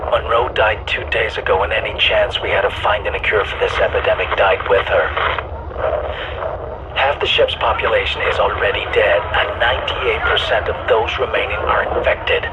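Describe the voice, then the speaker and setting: Actor as low-voiced, solemn starship log keeper, noisy recording. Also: male voice, steady tone, normal speed, natural voice. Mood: despairing. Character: weary male ship's officer recording grim logs aboard a doomed starship. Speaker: ship's officer keeping an audio log. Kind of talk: acted sci-fi game audio log.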